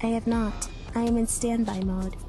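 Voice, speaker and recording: A woman playing an emotionless teenage alien girl in an anime fan dub, speaking in a formal, deadpan way. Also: fairly high pitch, normal speed, soft background music, natural voice. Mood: flat, detached. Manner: flat, deadpan, formal technical phrasing.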